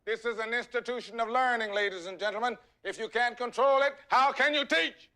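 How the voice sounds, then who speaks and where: An actor playing a fierce, uncompromising high school principal, forceful and imperious in a declamatory film drama performance. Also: male voice, fairly quick, full character voice. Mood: stern command.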